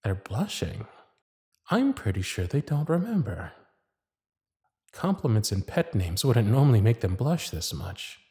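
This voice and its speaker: Smitten magician's inner voice, acted by a man: hushed, fond, confiding, intimate ASMR roleplay monologue. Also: on the low side, very animated, unhurried, pauses often, voice pushed down. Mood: smitten, musing. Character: smitten magician's private thoughts, shy and fond.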